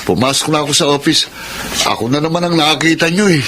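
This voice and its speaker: A brash young womanizer in a radio drama, always justifying himself. His voice is fairly high and very bright, with some faint noise behind it. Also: fast. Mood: impatient. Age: young adult. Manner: brash, self-justifying, argumentative. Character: cocky young womanizer, defiant son of village chairman. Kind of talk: Filipino radio drama acting.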